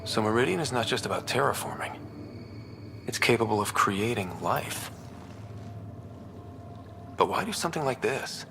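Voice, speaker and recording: An actor playing a young, heroic squad leader in a sci-fi game, speaking in a plainspoken, resolute, natural way. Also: male voice, mid-range voice, sing-song, normal speed, gravelly, long pauses, pushed and bright, faint music behind, exaggerated voice. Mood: earnest. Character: young heroic male space explorer leading his squad. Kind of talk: scripted video game cutscene acting.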